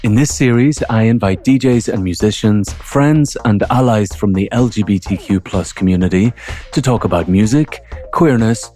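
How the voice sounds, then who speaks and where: A man's voice: fairly low pitch, a little mellow. A man with a Northern Irish accent hosting a podcast, introducing and interviewing a guest. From Northern Ireland. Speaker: podcast host.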